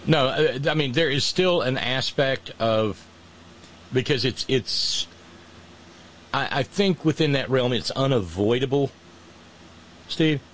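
A man's voice: mid-range voice, takes it slowly, pauses often, a bit bright, rough recording. Brief: male host, mid-range voice, sarcastic and rambling, faint hiss behind. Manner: sarcastic, mocking, lots of restarts. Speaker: podcast host. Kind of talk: casual political chat.

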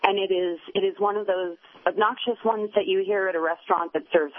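A woman's voice: mid-range voice, fairly even intonation, fairly quick. Playful woman caller on a phone line. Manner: playful, chirpy. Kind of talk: phone call to a radio show.

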